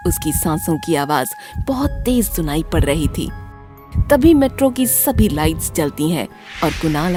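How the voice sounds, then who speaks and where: A woman narrating a scripted horror story in a dramatic, deliberate storytelling manner. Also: fairly low pitch, a little mellow.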